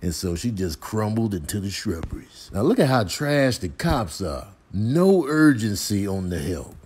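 A man's voice: fairly low pitch, big pitch swings, a little slow, a little mellow, breathy edge. A man doing comic voiceover commentary in a slangy, colourful, incredulous way. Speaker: comedy voiceover host. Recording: voiceover with faint background noise.

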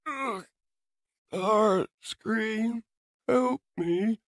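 A man's voice: high-pitched, very slow, fairly bright tone, pitched above the performer's own voice, heavily acted. A man voicing a cruel male robot jet warrior with a sneering manner. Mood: pained pleading.

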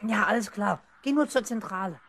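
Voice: medium pitch, brisk, fairly bright tone. An actor playing a small, gullible but self-assured schoolboy in a children's radio play, earnest and stubborn. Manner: earnest, stubborn, short confident replies. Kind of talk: 1970s German children's radio play acting.

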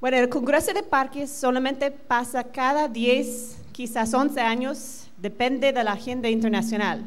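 A middle-aged American woman whose first language is English, giving a conference talk in a large hall on a handheld mic, quick with many fillers. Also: sits high, steady tone, slight background noise. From United States. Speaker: conference presenter. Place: large hotel conference room. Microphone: handheld microphone.

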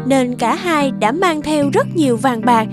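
A woman's voice: high voice, animated, measured pace. A woman narrating a scripted children's fairy tale. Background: background music.

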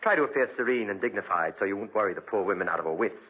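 An actor playing a worldly spendthrift gentleman in prison, breezy and sardonic, in 1940s radio drama style. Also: on the low side, racing, breathy edge. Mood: brisk, advising. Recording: muffled 1940s radio recording.

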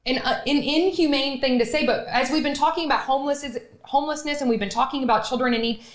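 A woman's voice: sits high, quick pace. A woman, hosting a podcast interview in an emphatic, conversational way. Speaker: podcast host. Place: small wood-panelled podcast studio, indoors. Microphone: studio microphone on boom arm. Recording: roomy studio echo.

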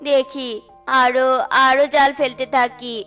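A woman's voice: high voice, flat delivery, measured pace, a bit bright, clear, resonant tone, faint music behind. A woman voicing a poor, timid father bird in a children's cartoon, with a plaintive, humble manner. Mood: downcast, resigned. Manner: plaintive, pleading.

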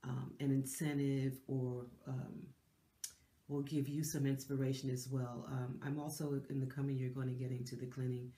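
A woman's voice: very deep voice, level tone, normal speed, roomy sound. A middle-aged woman hosting a lifestyle channel, talking casually to camera with a stuffy, nasal voice from a cold and lots of fillers and restarts. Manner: chatty, warm, with many fillers and restarts. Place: decorated living room indoors.

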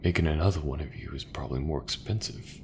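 A man playing an easygoing, folksy sci-fi mechanic in a soft, breathy, reassuring ASMR roleplay voice. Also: extremely low pitch, background noise. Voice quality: gravelly, breathy, half-whispered. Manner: slow, unhurried, reassuring, colloquial. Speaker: voice actor.

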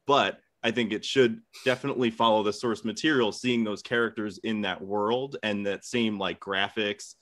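A man with a low voice argues his point on a podcast. He keeps it casual and conversational, like an informal debate among friends. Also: steady tone, normal speed, hardly any pauses, a bit bright.

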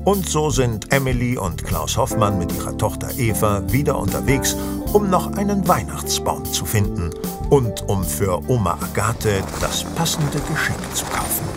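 A man narrating a horror radio play as a measured storyteller. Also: medium pitch, sing-song, over loud music, lightly acted. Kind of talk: scripted audio drama narration.

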